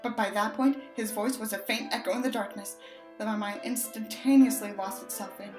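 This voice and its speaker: A woman's voice: mid-range voice, fairly even intonation, brisk. Woman doing audiobook narration w snarky fed up commentary, echoey room, music behind her. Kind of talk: expressive book narration with mocking asides.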